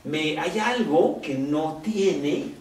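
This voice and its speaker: A man preaching a sermon in Spanish. He talks slowly and conversationally and keeps asking rhetorical questions. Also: on the high side, lively intonation, a little mellow, far from the mic. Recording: roomy echo, off-mic, faint background noise.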